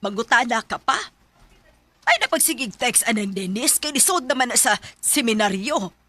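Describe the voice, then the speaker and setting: A woman's voice: on the high side, lively intonation, normal speed, pushed and bright, dry recording. A woman playing a stern, scolding mother in a radio drama, with a Bisaya accent. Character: stern, scolding mother worried for family honour.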